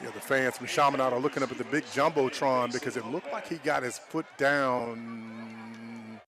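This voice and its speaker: A man's voice: mid-range voice, moderate pace, a crowd in the background. An American man giving informal, conversational football analysis as a color commentator at an outdoor stadium.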